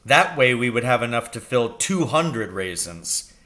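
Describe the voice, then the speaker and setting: A man voicing an excitable, dreamy father in a storybook, speaking in fond exclamations. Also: medium pitch, a little slow. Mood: eager, confident. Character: excitable, dreamy, affectionate father scheming at poaching.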